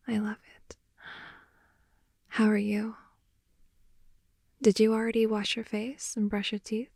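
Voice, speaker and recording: A woman improvising an intimate ASMR roleplay as a playful, affectionate girlfriend in bed, speaking softly, often in whispers and sighs, close to the microphone. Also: medium pitch, completely flat delivery, takes it slowly, gravelly, pauses often, no room sound. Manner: soft, often whispery, sighing, teasing. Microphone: close microphone, dry intimate sound.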